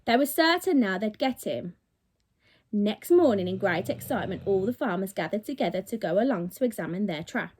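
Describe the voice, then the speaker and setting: A woman's voice: mid-range voice, lively intonation, brisk, slightly rough. A woman reading a children's story aloud like a teacher to her pupils, expressively and with dramatic emphasis on key words.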